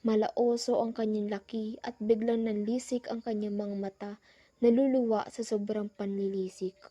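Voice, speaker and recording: A woman's voice: mid-range voice, level tone, normal speed, warm tone, highs cut off, lightly acted. A young woman playing the narrator who recounts a frightening island trip in a scripted radio drama. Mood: uneasy storytelling. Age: young adult.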